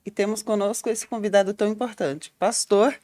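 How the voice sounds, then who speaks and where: A woman's voice: mid-range voice, fairly even intonation, fairly quick, warm tone. Middle-aged Brazilian woman hosting a podcast. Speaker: podcast co-host, pastor.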